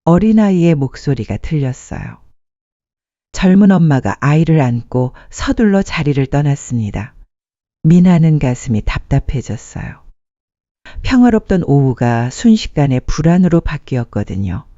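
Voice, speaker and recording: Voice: medium pitch, big pitch swings, moderate pace, mellow, dry recording. A narrator reading a scripted dramatic story as a storyteller. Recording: muffled dry studio sound.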